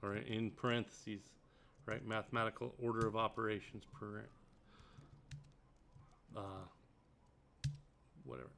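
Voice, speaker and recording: A man's voice: fairly low pitch, little pitch movement, unhurried, creaky, pauses often, a little hiss or hum. A man teaching programming, reading passages from a textbook aloud and commenting as he works, with frequent ums and uhs. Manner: thinks out loud, many ums and uhs; reads passages then comments on them. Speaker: programming tutor. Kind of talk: screen-recorded coding tutorial, reading a textbook aloud.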